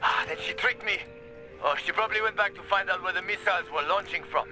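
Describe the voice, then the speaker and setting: Male actor as roguish companion, higher-pitched, casual, familiar, game cutscene delivery, music underneath. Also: fast, close to an everyday voice. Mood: hurried, reassuring. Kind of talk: dramatic video game cutscene acting.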